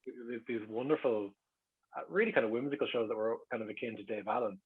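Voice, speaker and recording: Dublin guy chatting quick, bit raspy, wry storyteller, kinda dull lo-fi sound. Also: medium pitch. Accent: Dublin Irish. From Dublin, Ireland. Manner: wry, joking, animated storyteller. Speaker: guest speaker. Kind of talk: casual chat, reminiscing.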